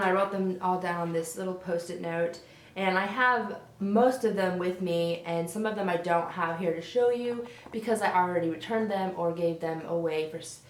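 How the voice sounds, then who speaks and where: Hoarse young woman chatting in an echoey room. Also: medium pitch. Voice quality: slightly raspy. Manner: chatty, frank about products she dislikes. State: hoarse, recovering from strep throat. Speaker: beauty vlogger. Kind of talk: casual product review to camera. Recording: roomy echo.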